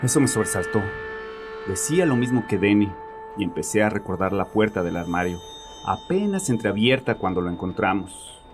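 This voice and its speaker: A man's voice: on the low side, expressive, normal speed, over music, lightly acted. A man playing a bitter, domineering grieving father who confides in a therapist with agitated, sarcastic arrogance in a horror audio drama. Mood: agitated, self-justifying.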